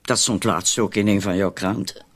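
A man's voice: on the low side, steady tone, normal speed, close to an everyday voice. A man playing an anxious, doubting accomplice in a radio drama, with a hesitant, questioning way of talking. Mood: doubting, chatty. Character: anxious, doubting, wordy accomplice in a murder plot. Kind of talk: Dutch-language radio drama acting.

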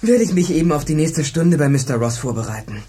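A man's voice: on the high side, animated, fast talker, warm tone, slight background noise, close to an everyday voice. A male actor playing an eager, headstrong teenage pupil in a radio drama, with a colloquial, insistent way of talking. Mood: enthusiastic, teasing. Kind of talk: German radio drama acting.

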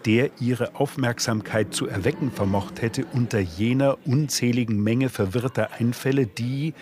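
An actor playing a solemn reciter of a philosophical treatise in a radio play, with measured, declamatory delivery. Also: male voice, mid-range voice, darkish tone, a little hiss or hum, natural voice. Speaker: narrator. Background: music.

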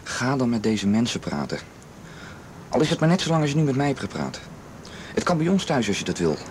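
A man's voice: mid-range voice, very animated, brisk, lightly acted. A man playing an earnest, protective young friend in a radio drama, urging and reassuring with insistence. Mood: pressing, earnest. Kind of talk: naturalistic radio drama acting. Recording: noisy old radio drama recording.

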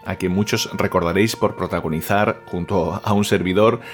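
A man from Spain, by his accent, presents in a fairly deep voice. His delivery is polished and formal, like a scripted host introduction to audio fiction, with soft music underneath. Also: steady tone, moderate pace. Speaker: host and producer of a horror audio fiction podcast. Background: faint music.

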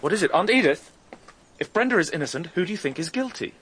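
A man's voice: fairly high pitch, very animated, fast, fairly bright tone. An earnest young British man asks and probes like an amateur detective in love. It is radio drama acting, with a faint layer of background noise. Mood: probing, concerned. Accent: British English. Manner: earnest, questioning.

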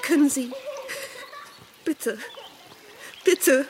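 An actress playing a distraught, helpless woman in a radio play, pleading haltingly. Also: sits high, noisy background.

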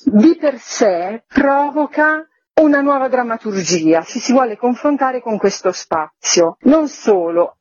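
Dull, dry lo-fi recording, woman, measured explanatory manner, radio guest. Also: on the high side, a little mellow, slightly rough, dry recording. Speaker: theatre artistic director, guest. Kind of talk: radio interview, presenting a programme. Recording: dull lo-fi radio interview audio.

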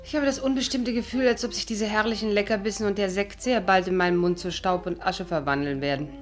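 Actress as a teasing, stubborn young sweetheart. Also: female voice, medium pitch, fast, natural voice. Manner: teasing, dry, playfully evasive. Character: witty, pretty, food-loving young woman, playfully stubborn. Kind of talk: 1950s German radio drama acting. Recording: 1950s radio recording, music behind.

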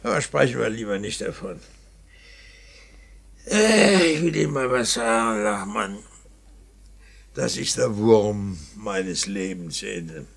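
An actor playing a stern, embittered older painter in a German radio play, gravelly and husky, speaking in emphatic repetitions. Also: male voice, fairly low pitch, animated, slow, long pauses, heavily acted. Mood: brooding, troubled. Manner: blustery, emphatic, repetitive, muttering asides.

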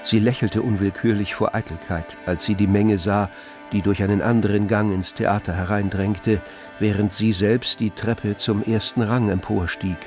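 A man's voice: fairly low pitch, steady tone, moderate pace, darkish tone, lightly acted. A man acting as the narrator of a literary radio play, telling a nineteenth-century story. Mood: measured narrating. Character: literary narrator of a 19th-century French novel. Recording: music under the voice. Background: music.

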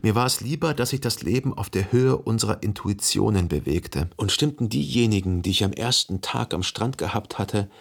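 An actor playing a brooding, melancholy man who recalls a dead lover in reflective, measured radio drama narration. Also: on the low side, animated, gravelly. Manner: reflective, measured, analytical monologue.

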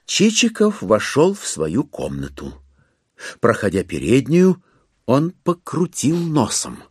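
A man's voice: mid-range voice, huge pitch swings, takes it slowly, a little mellow, breathy edge, no room sound, clean studio sound, exaggerated voice. A man acting as the wry narrator of a classic novel in a dramatised audiobook. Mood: wry, storytelling.

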